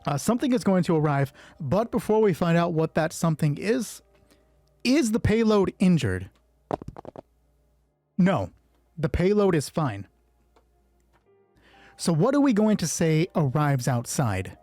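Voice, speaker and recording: A man with a slightly high voice runs a solo tabletop roleplay as its game master. He shifts between clipped, scripted narration and loose, informal play commentary. The sound is dry and close, with music underneath. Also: big pitch swings, unhurried, pauses often, mellow, no echo. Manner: informal, swearing, thinking aloud during play. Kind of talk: hushed scripted story narration alternating with casual game commentary.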